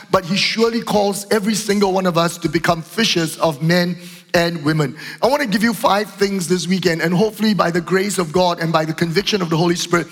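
A middle-aged man preaching a sermon as pastor, earnest and repetitive, slightly hoarse from a cough. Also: sits high, fairly bright tone. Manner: earnest, repeats phrases, fillers and restarts. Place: church auditorium. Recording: long hall reverb.

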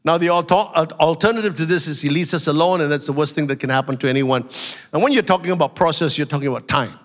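Nasal-sounding man preaching a sermon. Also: on the high side. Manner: preaching, rising to shouts and slowing for emphasis. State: has a flu. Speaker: pastor.

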